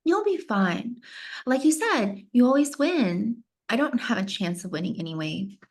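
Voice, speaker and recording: A woman acts out an anxious young pageant contestant for a script read. Her voice is very high and highly animated, with big swings in pitch. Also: a little slow. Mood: casual, evasive. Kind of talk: screenplay table read acting.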